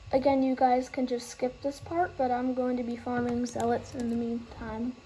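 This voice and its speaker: Bright-pitched woman, halting game tutorial. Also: little pitch movement, slight background noise, low-quality recording. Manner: halting, loses track and starts over. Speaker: gaming tutorial host.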